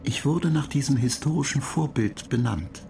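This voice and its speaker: An actor playing a courteous onboard computer in a radio drama, explaining in a measured, matter-of-fact way. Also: male voice, medium pitch, gravelly, far from the mic, background noise, close to an everyday voice.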